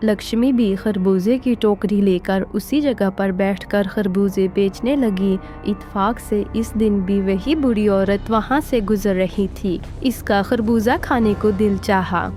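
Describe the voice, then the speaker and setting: Slow, mellow female storyteller. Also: mid-range voice. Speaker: narrator. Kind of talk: scripted storytelling narration of a Hindi moral tale. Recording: faint music behind the voice.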